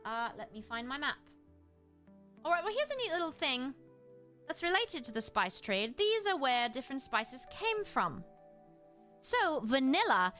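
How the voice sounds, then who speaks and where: A woman's voice: high voice, sharp tone. A woman streamer speaking in a British accent, teaching a livestreamed lesson in a polite, explanatory, gracious manner. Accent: British, put on. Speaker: live streamer and host giving a lesson. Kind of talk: livestreamed educational lesson with chat replies.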